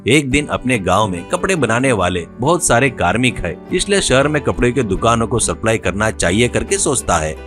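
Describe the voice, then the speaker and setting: A man's voice: mid-range voice, very animated, fairly quick, hardly any pauses, a bit bright, soft background music. A man narrating a scripted moral story as a storyteller.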